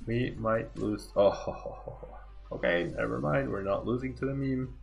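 A man's voice: medium pitch, measured pace, vocal fry, soft background music. A man of thirty-one doing casual, slangy livestream game commentary, laid-back and often repeating his phrases. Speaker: game streamer.

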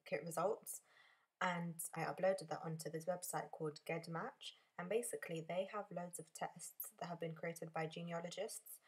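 Young woman, calm explainer voice-over, low and breathy. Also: normal speed. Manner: plain, explaining step by step. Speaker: vlogger.

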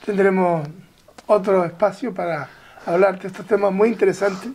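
A woman's voice: medium pitch, steady tone, moderate pace, a little mellow. A woman hosting a conversational interview, speaking in short broken-up phrases. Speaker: interviewer, show host.

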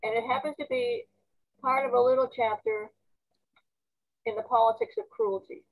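A woman's voice: on the high side, fairly even intonation, moderate pace, low-quality recording. A woman talking informally and reflectively during a group video call. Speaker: former member of a women's arts colony.

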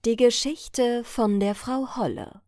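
A woman narrating a fairy tale as a bedtime audiobook, in a measured storytelling manner. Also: fairly high pitch, fairly even intonation, mellow, no room sound, studio quality, faint music behind.

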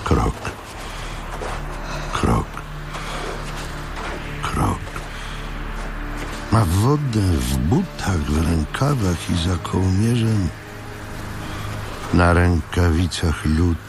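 A man reading scripted literary narration in a measured way, with dramatic pauses. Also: deep voice, very animated, creaky. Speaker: audiobook narrator. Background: music.

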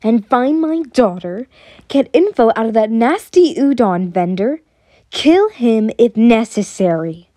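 A cold, imperious noble matriarch, put on by a woman narrator, with a fairly high voice. She speaks with contempt and commands like a ruthless villain in an audio story. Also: measured pace, mellow, no echo, clean studio sound.

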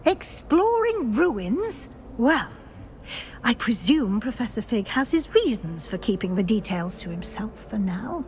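A brisk, stern professor. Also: female voice, on the high side, sing-song, a little mellow, slight background noise. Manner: crisp, brisk and no-nonsense. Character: brisk older female professor, stern and proper. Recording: game cutscene with music behind.

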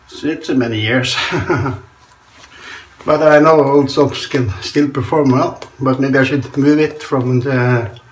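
A man's voice: medium pitch, steady tone, a little slow, low-quality recording. A man in his forties from Norway with a Norwegian accent, chatting casually in a small bathroom while he shaves, chuckling often between remarks. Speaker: shaving vlogger. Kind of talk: casual chat while shaving. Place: small tiled bathroom indoors. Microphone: camera in front at close range.

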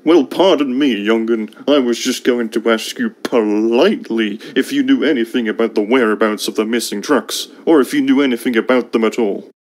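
A man voicing an old, grumpy but polite steam engine in a cartoon, gravelly, measured and condescending. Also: medium pitch, lightly acted. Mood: stern, annoyed. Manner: measured, condescending, calls others young'un. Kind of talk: fan animated game cutscene acting.

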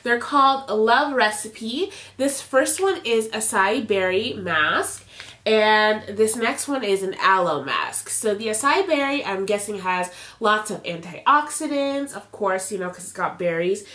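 A woman's voice: mid-range voice, lively intonation, unhurried, a bit bright. A young woman speaks close to the camera in a casual beauty vlog, her voice thick and nasal from congestion. She keeps it chatty and upbeat, sprinkling in fillers as she walks through each product. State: congested, nasal from a sinus infection. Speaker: beauty vlogger. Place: indoor home filming setup. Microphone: camera at close range, facing the camera.

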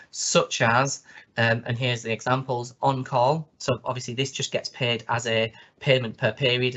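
A slightly hoarse man, walking through a spreadsheet on a screen share with many ums and restarts. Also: medium pitch, fairly bright tone, highs cut off. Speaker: consultant presenting a toolkit.

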